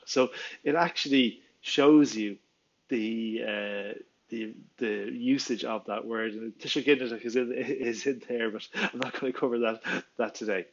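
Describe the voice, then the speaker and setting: A man's voice: medium pitch, vocal fry, muffled recording. A man in his forties with an Irish accent, a native Irish speaker, giving an enthusiastic, chatty explainer video from a small room at home, with many fillers and restarts. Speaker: Irish language teacher. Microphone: headset, video call framing.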